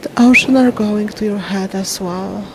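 A woman guiding a meditation in a soothing, unhurried way, speaking in short phrases with long pauses. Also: medium pitch. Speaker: meditation guide. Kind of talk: slow guided meditation narration. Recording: steady wash of ocean waves and wind noise. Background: ocean waves.

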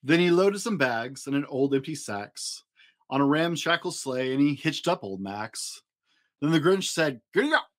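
A man's voice: a little high, expressive, measured pace. A man reads a children's story expressively, with dramatic, playful emphasis. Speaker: dad storyteller, host. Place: small indoor home studio room.

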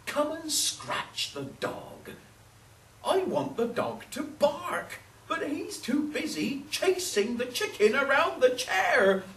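Woman as insistent old woman, raised lively pitch. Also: takes it slowly, full character voice. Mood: pleading. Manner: loud, commanding, repetitive pleading. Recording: roomy, distant mic, faint noise.

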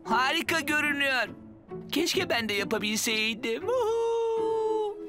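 Eager cartoon digger. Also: male voice, very high-pitched, a little slow, loud music behind the voice, heavily acted. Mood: excited, playful. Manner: eager, excitable. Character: eager, playful yellow backhoe loader with a childlike streak. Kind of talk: children's cartoon dub.

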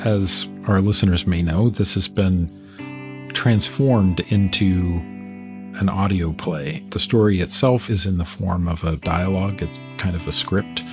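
Male podcast interviewer, deep low register, measured conversational delivery, faint music.

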